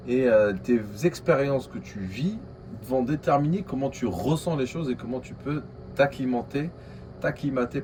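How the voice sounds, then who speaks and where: A man from Algeria with an Algerian accent, talking casually to his vlog camera in a coworking space. He speaks quickly, with many fillers and restarts. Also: mid-range voice, a little mellow, slight background noise. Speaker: vlogger.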